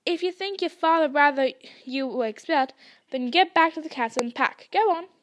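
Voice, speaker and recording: A woman voicing a huge, gruff, kindly male gamekeeper who talks bluntly. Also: high-pitched, lively intonation, normal speed, fairly bright tone.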